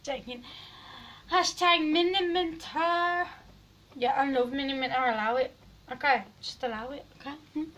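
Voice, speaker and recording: A woman's voice: sits high, normal speed, slight background noise. A teenage girl, losing her voice, chatting and rambling in a giggly selfie vlog in her bedroom. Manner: rambling, giggly, drifts loud and soft. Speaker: vlogger. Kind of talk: casual selfie vlog chat. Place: small bedroom indoors. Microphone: phone camera at arm's length.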